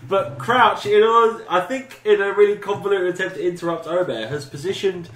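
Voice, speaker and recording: A man's voice: high-pitched, fairly quick, a bit bright. A British man bantering casually as a podcast co-host. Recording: echoey room, clear background noise.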